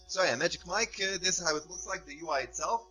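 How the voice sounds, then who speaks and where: A man presenting a software tutorial in casual, somewhat hesitant and repetitive talk. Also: sits high, fast, reverberant, faint music behind.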